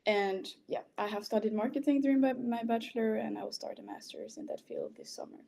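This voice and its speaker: A woman's voice: a little high, big pitch swings, fairly quick, vocal fry, mellow, a little hiss or hum. A woman in her twenties from French-speaking Belgium, a native French speaker, giving an informal prepared presentation as a candidate. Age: 20s.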